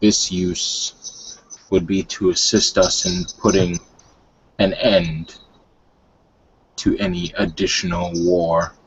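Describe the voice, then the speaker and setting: A man voicing a respectful, grave young male werewolf with formal, deliberate speech in a tabletop roleplay. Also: sits low, flat delivery, breathy edge, full character voice. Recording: dull, lo-fi online call.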